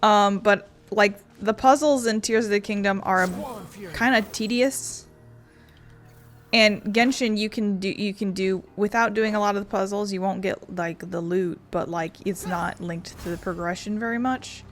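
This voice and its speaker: A woman's voice: medium pitch, fairly even intonation, a little slow. A woman hosting a gaming video, chatting casually in a rambling way with many fillers. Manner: rambling, filler-heavy talk. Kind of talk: casual chat while playing.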